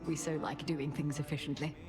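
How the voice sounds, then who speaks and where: A woman's voice: low voice, flat delivery, brisk, close to an everyday voice. A woman playing a haughty, sly queen goddess in a fantasy game, with a formal, pointed, aristocratic delivery. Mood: smug, pointed.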